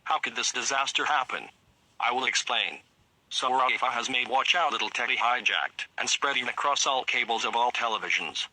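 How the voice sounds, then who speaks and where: Grave male head of state played by a man, mid-pitched and very sharp, formal scripted emergency address. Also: moderate pace, no echo, poor audio.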